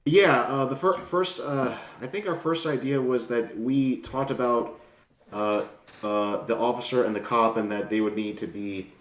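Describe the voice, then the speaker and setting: Guy on a video call, stop-start talk, sounds far off, echoey room. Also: medium pitch. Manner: halting, many restarts. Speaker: story consultant. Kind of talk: casual chat on a group call. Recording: roomy, far from the mic.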